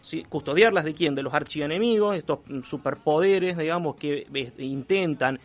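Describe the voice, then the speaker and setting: An Argentine man giving a conversational radio column on culture, reflective and halting as he searches for words. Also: a little high.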